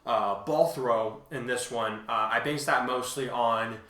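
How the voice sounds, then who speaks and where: A man casually explaining as a co-host, halting but emphatic as he talks through his points. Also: mid-range voice, pushed and bright. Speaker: co-host and fan fiction author responding to reviews. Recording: roomy, echoing sound.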